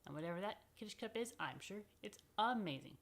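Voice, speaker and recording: A woman's voice: mid-range voice, lively intonation, quick pace. A woman rabbi hosting a children's story time, speaking informally and playfully straight to the children in a chatty way.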